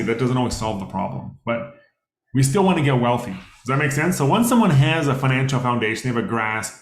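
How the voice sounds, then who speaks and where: Man, 30s, workshop presenter; easygoing, conversational delivery, mid pitch, echoey room sound. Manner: conversational, many fillers, asks the audience questions. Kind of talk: casual workshop lecture. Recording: roomy echo.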